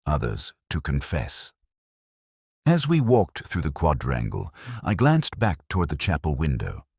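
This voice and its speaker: A man narrating a first-person detective story in a measured, brooding, literary manner. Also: slightly rough, no room sound, clean studio sound. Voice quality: very deep.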